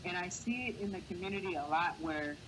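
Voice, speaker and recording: A man with a light, boyish voice, speaking earnestly as a guest in a casual interview and giving encouraging advice. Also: a little fast. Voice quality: high, boyish voice. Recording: clear background noise.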